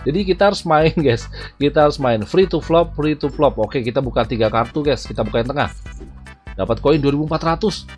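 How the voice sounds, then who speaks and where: A man's voice: fairly high pitch, fairly quick. A man, chatting casually to viewers as he commentates on mobile gameplay. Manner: chatty, addresses viewers as "guys". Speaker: gaming YouTuber, host. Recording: music behind the voice. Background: music.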